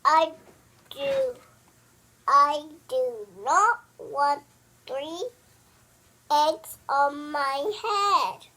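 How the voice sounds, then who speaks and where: Storybook elephant, played by a child: male, easily upset, incredulous, halting, with big pitch swings. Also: animated, sharp tone, exaggerated voice. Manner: exclamatory, halting, incredulous questioning.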